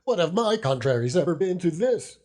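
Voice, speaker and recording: A man's voice: sits high, big pitch swings, moderate pace, dark tone, voice pushed up. A man voicing a humble, grieving widow in an audiobook, speaking tender rustic dialect as she pleads and consoles. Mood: tearful, tender pleading. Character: grieving, self-reproaching old widow woman, humble and tender. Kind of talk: dramatised audiobook character reading.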